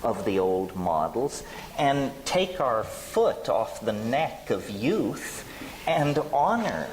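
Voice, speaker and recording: A man speaking on a panel in an informal public discussion, deliberate and articulate, with ornate wording. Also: medium pitch, very animated. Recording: noisy, long hall reverb, live event.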